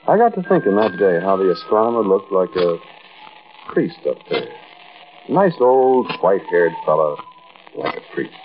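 An actor playing a folksy American man telling a first-person story in 1940s radio style, conversational and musing, with hesitant pauses. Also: deep voice, heavily acted. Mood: musing, uneasy. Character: folksy American man recounting an uncanny mountain outing. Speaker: narrator and lead character. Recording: muffled, hissy old-time radio recording.

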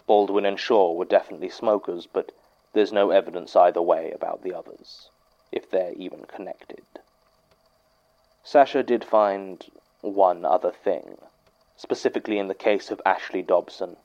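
An actor playing a dry, sceptical archivist who records case notes in a formal, measured audio drama monologue. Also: male voice, deep voice, mellow, dull audio. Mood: dry, businesslike.

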